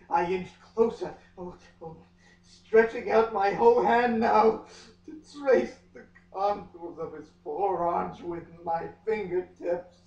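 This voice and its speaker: Male reader, slow and overwrought, comic dramatic delivery with long pauses; muffled, distant room recording. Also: high-pitched, darkish tone. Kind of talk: melodramatic comic dramatic reading of a novel. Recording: echoey room, far from mic, muffled.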